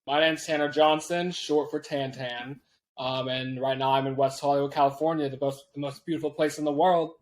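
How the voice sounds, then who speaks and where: A man in his late twenties from Washington State, a guest talking over a video call, laid-back and quick to laugh. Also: on the high side, flat delivery. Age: 20s. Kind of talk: casual interview chat.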